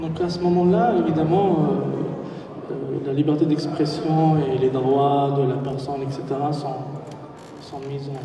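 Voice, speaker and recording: A man's voice: a little high, fairly even intonation, measured pace, a crowd in the background. Hesitant man in an echoey hall. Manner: hesitant, searching for words. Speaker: panel writer. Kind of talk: halting conference talk. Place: conference hall. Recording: distant echoey hall, muffled.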